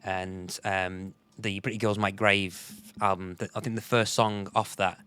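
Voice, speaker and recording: A man hosting a music podcast in casual chat, his voice a bit croaky, rambling with frequent restarts. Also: on the low side, level tone, measured pace, bright, forceful tone. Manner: rambling, restarts often, chuckles. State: slightly croaky voice. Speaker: podcast host.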